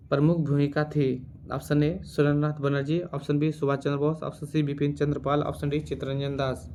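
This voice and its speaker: A man teaching through exam quiz questions, reading options and repeating answers deliberately. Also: a little high, darkish tone, slight background noise.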